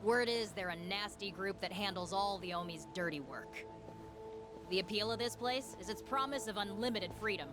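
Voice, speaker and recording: A woman's voice: medium pitch, moderate pace, music in the background, lightly acted. An actress voicing a cheeky, upbeat, streetwise young woman fixer in a video game, chatty and slangy. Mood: matter-of-fact, explaining. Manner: slangy, breezy, expressive. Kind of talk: English video game voice acting.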